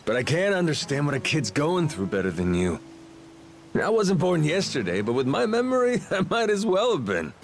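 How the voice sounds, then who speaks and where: A man's voice: a little high, wildly swooping pitch, normal speed. An actor playing a brash, cocky but sympathetic roguish man in a video game. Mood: challenging.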